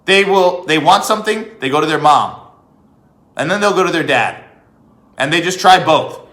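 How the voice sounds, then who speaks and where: Male commentator, high bright voice, deliberate and emphatic, hammering points with repetition. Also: expressive. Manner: emphatic, repeats phrases for effect, rhetorical questions. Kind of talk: opinionated political monologue. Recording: echoey room.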